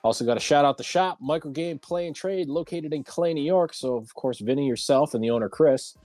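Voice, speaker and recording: A man's voice: fairly high pitch, brisk, a little mellow, no echo, soft background music. A man hosting a casual livestreamed round table talk show.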